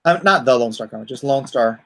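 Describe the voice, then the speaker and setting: A man's voice: medium pitch, animated, fairly quick, a bit bright. A man chatting casually as a livestream host, rambling informally with joking asides. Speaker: comic artist hosting a crowdfunding livestream.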